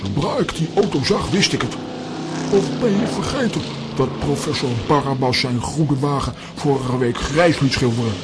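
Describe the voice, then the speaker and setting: A man's voice: mid-range voice, animated, normal speed, very noisy, natural voice. A man playing an assertive, know-it-all adventurer in a children's audio drama, bossy and emphatic.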